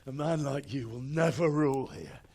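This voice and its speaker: A man's voice: mid-range voice, moderate pace, noisy background, lightly acted. An actor playing an angry, defiant young man held captive, blunt and bitter, in a video game cutscene.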